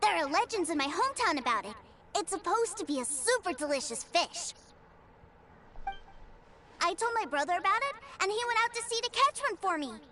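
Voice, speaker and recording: A woman's voice: very high voice, very animated, fairly quick, bright, forceful tone, slight background noise, exaggerated voice. A woman voicing a restless, naive little boy in a video game, talking in an eager childlike way, with game music behind. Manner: eager, wide-eyed, childlike.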